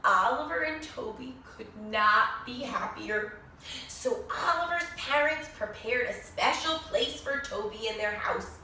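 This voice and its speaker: A woman reading a children's storybook aloud expressively, with dramatic pauses and questions to her young listeners. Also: fairly high pitch, expressive, sharp tone. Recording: echoey room, distant mic, faint noise.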